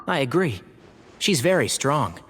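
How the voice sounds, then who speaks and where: A man's voice: medium pitch, big pitch swings, measured pace, in a large hall, background noise. An actor playing a spirited young male duelist in a video game. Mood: composed.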